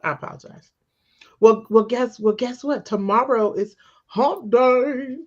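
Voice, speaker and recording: A woman's voice: a little high, measured pace. A woman hosting a podcast in casual chat, teasing and chatty with her guests. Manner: chatty, teasing, laughs often. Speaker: podcast host. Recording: slightly muffled with faint hiss.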